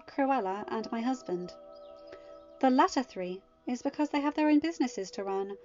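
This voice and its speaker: A woman voicing a composed goddess queen who speaks in a dry, measured, quietly cutting way. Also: fairly high pitch, close to an everyday voice. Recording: muffled audio with soft music.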